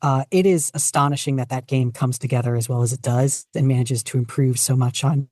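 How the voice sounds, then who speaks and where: A woman's voice: a bass voice, fairly even intonation, brisk, a little mellow, no room sound, clean studio sound. A woman chatting casually as a podcast guest, rambling along with self-deprecating humor. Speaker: podcast guest, fanfiction writer. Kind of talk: casual chat.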